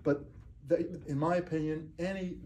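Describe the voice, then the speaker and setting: Older guy giving a talk, no mic, low mellow voice, blunt and emphatic, faint room hiss. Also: fairly even intonation, moderate pace. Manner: blunt, emphatic, asks "okay?" often. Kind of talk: lecture and audience Q&A. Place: community meeting room. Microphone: far camera, no microphone.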